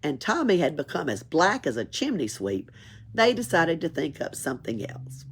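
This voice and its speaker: A woman reading to children from a storybook. Her voice sits fairly low with a husky, breathy grain, and her delivery is expressive and highly animated. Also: moderate pace. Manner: expressive, chuckles and adds asides. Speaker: library read-aloud reader. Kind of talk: children's story read-aloud.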